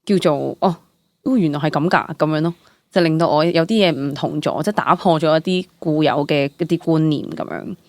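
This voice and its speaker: Young Hong Kong woman, animated and dry-miked. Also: low voice, fairly quick, no echo. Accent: Hong Kong Cantonese. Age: young adult. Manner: animated, code-mixes English words into speech. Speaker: guest, stage actress. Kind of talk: casual interview chat.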